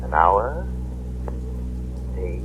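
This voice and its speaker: A man playing a calm, mind-reading crime fighter in an old radio drama, measured and commanding, on a scratchy old broadcast. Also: low voice, dull audio, full character voice.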